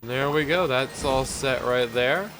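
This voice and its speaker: A man's voice: mid-range voice, measured pace, poor audio. A congested man with a mild cold giving rambling, casual commentary while he plays a video game. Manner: rambling, relaxed, lots of fillers. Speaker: gameplay commentator. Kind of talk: casual let's-play commentary. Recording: loud game music behind voice. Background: game music.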